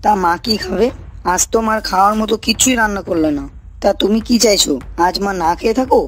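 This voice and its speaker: A woman's voice: mid-range voice, quick pace, close to an everyday voice. A woman voicing a dutiful young village husband in a cartoon, questioning and complaining. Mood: nagging, irritated. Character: dutiful, nagging young married village son. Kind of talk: cartoon character dialogue. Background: faint music.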